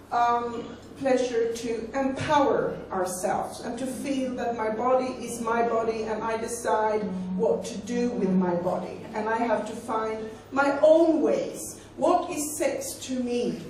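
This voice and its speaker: A woman's voice: a little high, a little mellow, a little hiss or hum. A woman in her forties with a Swedish accent, a native Swedish speaker, giving a live lecture on sex education in a conversational way and often repeating words as she searches for them. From Sweden. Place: hall. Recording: echoey hall recording from far off.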